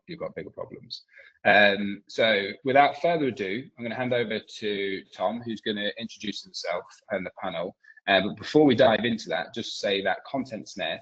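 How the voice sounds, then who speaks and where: A man's voice: deep voice, fairly bright tone, breathy edge. A man opening a webinar over a video call, chatty and loose, with plenty of ums. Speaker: webinar co-host. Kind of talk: casual webinar housekeeping. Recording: dull lo-fi web call audio.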